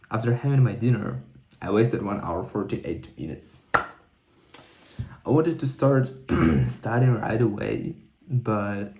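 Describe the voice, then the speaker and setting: Gravelly low-voiced man in an echoey room. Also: warm tone. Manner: rambling, self-correcting, thinking aloud. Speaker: vlogger. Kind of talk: casual diary-style monologue.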